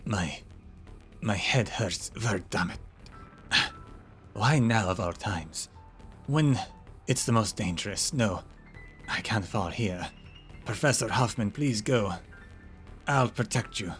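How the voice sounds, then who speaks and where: A man voicing a haunted young nobleman who speaks in a low, grim, determined way. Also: expressive, takes it slowly, long pauses, soft background music. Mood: pained. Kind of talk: game story read aloud.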